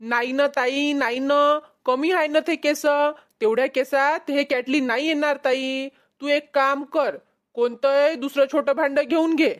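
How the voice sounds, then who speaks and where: A woman's voice: high-pitched, steady tone, measured pace. A woman voicing a boastful, haggling young male street peddler in a cartoon comedy, with a Vidarbha Marathi accent. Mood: haggling, insistent. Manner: cocky, bargaining.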